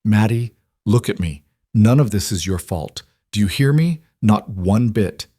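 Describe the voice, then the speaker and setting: A man reading an audiobook voices a gentle, earnest woman full of guilt, comforting a child. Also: lowish voice, animated, unhurried, no room sound, clean studio sound. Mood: tender, sorrowful.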